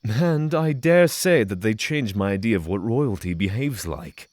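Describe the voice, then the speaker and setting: A man's voice: on the low side, lively intonation, a little mellow, no echo, studio quality. A man playing an earnest, cautious, reflective spiritual pilgrim in a fantasy audio drama, formal and hesitant. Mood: reserved, earnest. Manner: formal, hesitant, thoughtful pauses.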